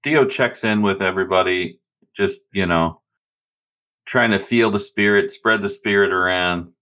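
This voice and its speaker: A man's voice: low-pitched, unhurried, sharp tone. A man playing a tabletop game, bantering casually in an emphatic, mock-serious way.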